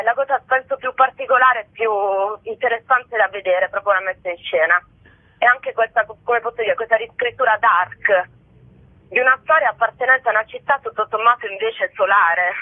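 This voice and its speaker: A woman from southern Italy, a playwright and actress, talks as a radio guest in a rambling way, full of filler words and pauses to search for words. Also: medium pitch, dry recording. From Bari, southern Italy. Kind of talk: radio interview over the phone. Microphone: telephone. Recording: tinny phone line.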